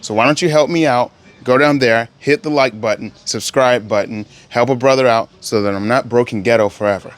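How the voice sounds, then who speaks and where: A man's voice: mid-range voice, fairly quick. A man giving a casual, rambling vlog update on his language learning, often correcting himself and then turning motivational. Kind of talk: casual spoken update to camera. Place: home in Thailand.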